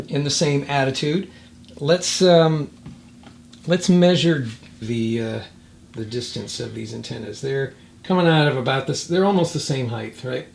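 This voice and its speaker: A man giving a casual hands-on product demonstration, thinking aloud in a halting way. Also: medium pitch, creaky, slight background noise. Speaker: product reviewer.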